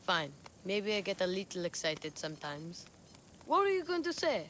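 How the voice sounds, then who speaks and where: A woman's voice: on the low side, moderate pace, slight background noise. A woman voicing an eager young boy who keeps interrupting in a game cutscene. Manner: excitable, interrupting. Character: eager young boy searching for his father. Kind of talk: video game cutscene acting.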